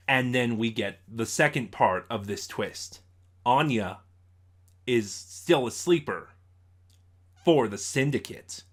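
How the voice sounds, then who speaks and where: Male reviewer, medium pitch, informal recap delivery, halting and digressive with frequent self-corrections. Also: fairly bright tone. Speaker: audio drama reviewer. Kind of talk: casual review with story recap.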